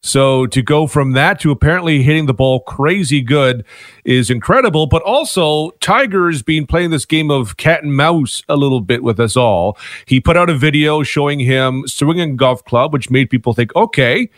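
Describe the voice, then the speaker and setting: A man giving a radio sports report, explaining events in a measured, steady way into a studio microphone. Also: on the high side, dry recording.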